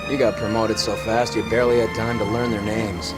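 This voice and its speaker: An actor with an American accent playing a cynical, smug, needling vice detective, with clipped, slangy, sardonic delivery. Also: male voice, on the low side, little pitch movement, fairly quick, loud music behind the voice, close to an everyday voice.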